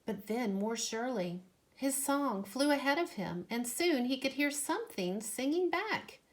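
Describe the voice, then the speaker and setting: A woman reading a children's storybook aloud expressively, with measured, deliberate phrasing. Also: sits high, lively intonation.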